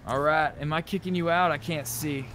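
A man with a somewhat high voice talks casually while playing a roleplay game. His phrasing is folksy and a little profane. A light bed of game and vehicle noise sits behind him. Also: fairly even intonation, normal speed, a bit bright. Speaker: player in a roleplay game session. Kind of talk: casual in-character gaming chat. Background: game ambience and car engine.

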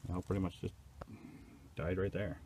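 A man's voice: low voice, flat delivery, normal speed, mellow, husky. A man casually narrating as he inspects his beehives outdoors, hesitant and unsure, thinking aloud. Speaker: beekeeper.

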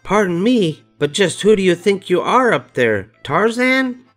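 An older man voicing a brisk, wry, coaxing mother in a storybook. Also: high-pitched, big pitch swings, a bit bright, faint music behind, voice pushed up, full character voice.

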